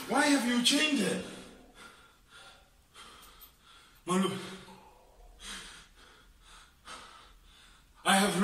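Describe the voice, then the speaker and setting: A man acting out a pastor who pleads and lectures, saying his words again and again. His voice is high, bright and lively. It sounds far from the mic in an echoey room. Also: heavily acted. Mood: soothing. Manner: pleading, repeats words, preaches. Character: pleading, preachy pastor calming a fight.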